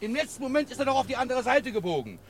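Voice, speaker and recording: A high, very animated male voice plays a rattled eyewitness in an old radio thriller. He is agitated and insistent, with faint noise behind him. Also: very fast. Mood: shaken, agitated. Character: shaken, excitable male eyewitness to a car crash. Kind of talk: vintage German radio crime play acting.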